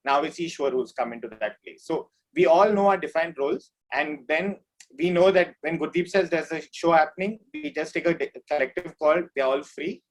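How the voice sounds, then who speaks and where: Slightly high-voiced man explaining casually. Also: a little fast, poor audio. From India. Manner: informal, explanatory. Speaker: band drummer, interview guest. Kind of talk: casual interview chat.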